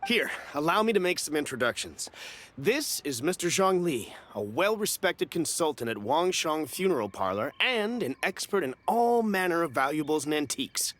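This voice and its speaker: An actor playing a nervous, fussy merchant who talks in a wheedling, apologetic way. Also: male voice, fairly high pitch, sing-song, normal speed, a bit bright, a little hiss or hum. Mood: eager.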